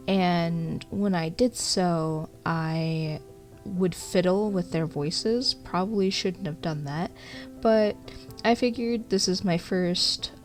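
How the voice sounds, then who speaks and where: A woman speaking informally and expressively as an amateur narrator of her own fan fiction. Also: fairly low pitch. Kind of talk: informal intro and expressive storybook-style reading.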